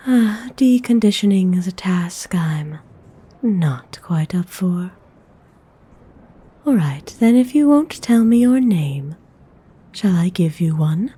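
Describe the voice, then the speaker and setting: Woman playing a breathy, unhurried aristocratic lady vampire. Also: lowish voice, heavily acted. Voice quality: soft, breathy, half-whispered. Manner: unhurried, formal, coolly refined. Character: aristocratic lady vampire, hungry, haughty yet benevolent. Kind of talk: ASMR audio roleplay acting.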